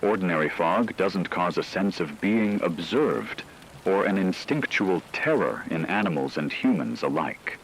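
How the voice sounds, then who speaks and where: A man playing a serious, measured news anchor in a scripted emergency radio broadcast drama. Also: low-pitched, lively intonation, slight background noise, low-quality recording. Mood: grave, ominous. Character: grave male radio news anchor reporting a supernatural fog crisis.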